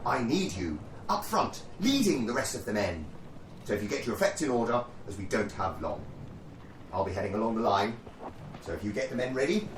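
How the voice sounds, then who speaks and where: A man's voice: on the low side, big pitch swings, brisk. Man playing pompous British captain, swooping delivery. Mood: businesslike. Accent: British, upper-class. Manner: plummy, hesitant, stiff-upper-lip politeness. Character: jolly, pompous upper-class British army captain in wartime. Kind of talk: radio play acting. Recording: roomy, distant mic, faint noise.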